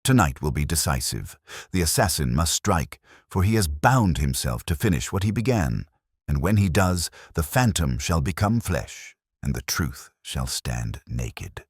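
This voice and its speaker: Calm, commanding male detective for a mystery audiobook read by a man: very deep, husky, measured and theatrical. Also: no echo, studio quality, full character voice. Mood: grave, intense. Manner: measured, theatrical, authoritative pronouncements. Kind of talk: audiobook character dialogue.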